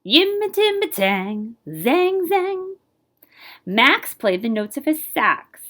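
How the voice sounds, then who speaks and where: A woman reads a children's picture book aloud in the role of a librarian. Her delivery is animated and playful, with a widely moving pitch. She steps out of the story to chat to her listeners. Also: slow. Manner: animated, playful with scat-like sound words. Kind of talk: expressive children's read-aloud with asides to listeners.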